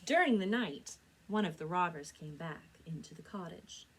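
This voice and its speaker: A woman speaking as a children's librarian and storyteller, with an expressive, clearly enunciated read-aloud delivery. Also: on the low side, moderate pace. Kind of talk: children's storytelling and book recommendations.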